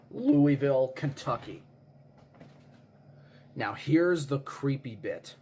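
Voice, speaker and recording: A man playing an earnest eyewitness who tells a grave disaster theory in an insistent, suspenseful way with dramatic pauses. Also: medium pitch, darkish tone, slight background noise. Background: music behind the voice.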